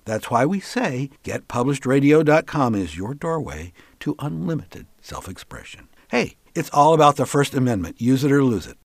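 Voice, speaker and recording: A man's voice: mid-range voice, sing-song, moderate pace, hardly any pauses. A man hosting a radio talk show in a chatty, conversational way with folksy asides.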